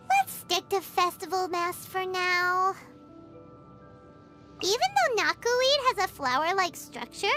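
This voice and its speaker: A woman voicing a tiny, bubbly, childlike female fairy companion in a video game, squeaky and excitable, dramatic in manner. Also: heavily acted. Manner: speaks of self in third person. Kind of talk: video game character dialogue.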